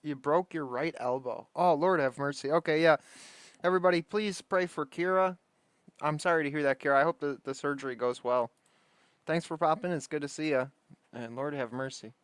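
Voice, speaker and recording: A man's voice: a little high, a little fast, clear, resonant tone, no room sound. Livestreaming man with a close, dry sound. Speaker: livestream host. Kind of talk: casual livestream chat.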